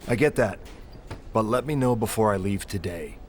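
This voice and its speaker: A man's voice: fairly low pitch, lively intonation, normal speed, lightly acted. A man playing a businesslike wrestling executive in video game cutscene dialogue. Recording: faint background noise.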